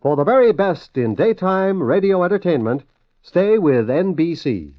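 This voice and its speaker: American man, slightly high voice, lively intonation, formal scripted network announcing, old 1950s radio sound. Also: normal speed, no room sound. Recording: dull, dry 1950s radio recording.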